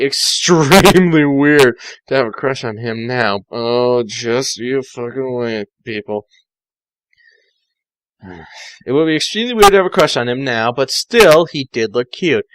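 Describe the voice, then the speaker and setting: A man's voice: medium pitch, a bit bright, dry recording, rough recording. Male amateur story reader, sardonic and mocking in his asides, close dry sound. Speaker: fan fiction reader. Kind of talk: amateur read-aloud with sardonic side comments.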